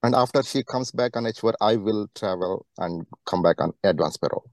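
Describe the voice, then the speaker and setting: A man's voice: on the low side, fairly quick, darkish tone, dry recording. A man calling in to ask for advice, laying out his question step by step. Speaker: caller asking for advice. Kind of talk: careful question and answer on a call.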